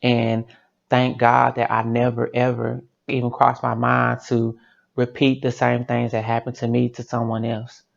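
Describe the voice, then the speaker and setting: A man giving earnest, measured testimony in a documentary interview. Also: mid-range voice, completely flat delivery, creaky, lo-fi sound.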